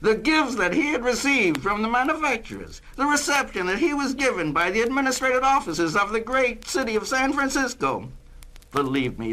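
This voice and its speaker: An actor playing a zealous Communist district official, speaking in a grandiose, oratorical 1960s dramatized style. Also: male voice, on the high side, pushed and bright. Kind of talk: 1960s dramatized political speech. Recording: noisy vintage spoken-word LP.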